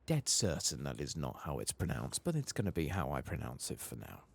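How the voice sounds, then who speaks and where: A man speaking softly, sometimes whispering, as he hosts an ASMR game playthrough with murmured asides. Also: very deep voice, huge pitch swings, fairly quick, gravelly. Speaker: ASMR gaming host.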